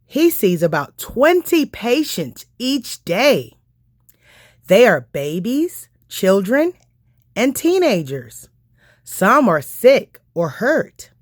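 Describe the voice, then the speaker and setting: A woman narrating a children's book aloud, phrasing each part carefully and deliberately. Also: on the high side, sing-song, dry recording, studio quality. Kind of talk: scripted storybook narration.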